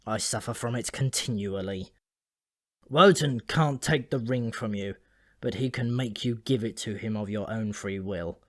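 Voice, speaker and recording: A man's voice: mid-range voice, no room sound, studio quality, lightly acted. A man voicing an ancient, tipsy sulphur dwarf in an audiobook, grumbling and rambling in a gloomy, grudgingly friendly manner.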